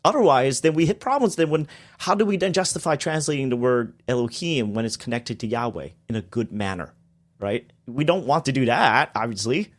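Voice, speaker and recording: A man's voice: medium pitch, animated, a little fast. A man, a guest on a podcast, explaining theology in a casual discussion with emphatic bursts on key points.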